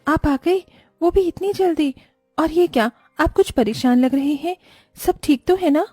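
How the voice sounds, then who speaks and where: A woman's voice: sits high, measured pace, mellow, no echo, studio quality, soft background music. A woman voicing a caring, resourceful wife in a cartoon, speaking in an earnest, concerned way. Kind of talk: scripted cartoon dialogue.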